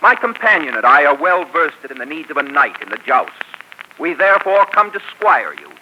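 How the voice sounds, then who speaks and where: An actor playing a gallant, confident outlaw hero in disguise, speaking in courtly tones, in 1940s radio acting. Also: male voice, fairly high pitch, big pitch swings, moderate pace, pushed and bright, full character voice. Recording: muffled, noisy 1940s radio broadcast.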